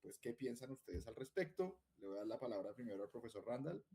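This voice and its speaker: A man's voice: medium pitch, expressive, fast, warm tone. A man moderating a video call panel, handing over the floor with hesitant fillers.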